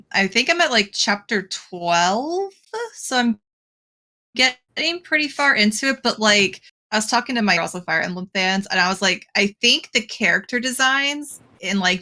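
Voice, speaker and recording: A woman on a casual podcast, talking enthusiastically about the games she is playing, rushing ahead in quick bursts. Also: medium pitch, pushed and bright. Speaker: podcast co-host.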